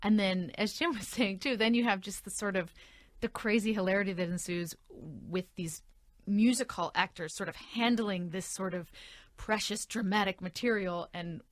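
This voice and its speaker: A woman's voice: mid-range voice, normal speed, no room sound, clean studio sound. A woman, an actress guest on a radio interview, explaining thoughtfully with some hesitation.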